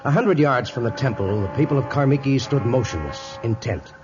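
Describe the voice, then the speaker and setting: A man's voice: medium pitch, expressive, a little fast. A man narrating a 1950s radio adventure in dramatic storytelling style, on an old muffled recording. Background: dramatic music.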